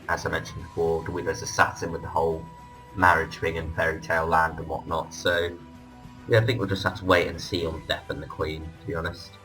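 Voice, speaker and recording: A man's voice: very deep voice, pushed and bright, rough recording. A man hosting a casual fan discussion, with hesitant, hedging phrasing. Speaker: podcast host. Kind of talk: casual fan discussion of audio drama releases.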